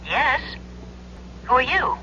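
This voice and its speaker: Wary, sharp, curt woman. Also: sits high, very animated, slow, exaggerated voice. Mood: suspicious. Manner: guarded, clipped. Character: wary, curt young female librarian on the phone. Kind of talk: 1970s radio drama acting. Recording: dull telephone sound, faintly hissy radio recording.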